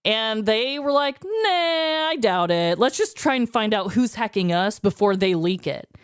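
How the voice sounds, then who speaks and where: A woman co-hosting a casual podcast, chatting in an animated, gossipy, conversational way. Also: on the high side, measured pace, clear, resonant tone, dry recording, clean studio sound. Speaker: podcast co-host.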